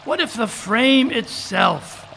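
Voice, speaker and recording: A man performs a keen detective in an old-time radio play. The voice is high for a man and forceful, with precise, formal phrasing. He sounds unflappable yet eager as he reasons, over faint noise in a live recording. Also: lively intonation, takes it slowly, gravelly, full character voice. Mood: excited. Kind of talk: old-time radio drama acting, live stage reading. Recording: live conference recording, background water noise.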